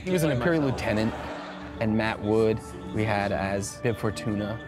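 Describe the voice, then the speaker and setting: A man's voice: lowish voice, lively intonation, normal speed, music in the background. A man speaking as a writer and director, chatting casually and enthusiastically in an interview.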